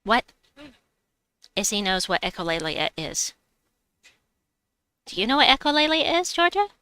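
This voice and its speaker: A woman's voice: mid-range voice, sing-song, measured pace, pushed and bright, clear, resonant tone, no echo. A woman with a slight New York accent, streaming a game and chatting with her viewers as she plays. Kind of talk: casual live-stream chat while gaming.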